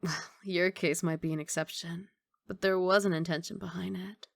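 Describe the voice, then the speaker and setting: A woman acting out a female mafia boss who is guarded yet tender with a secret lover. Her voice is on the low side with plenty of fry, soft and measured, recorded close and dry like intimate ASMR roleplay. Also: fairly even intonation, dry recording. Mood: guarded, matter-of-fact.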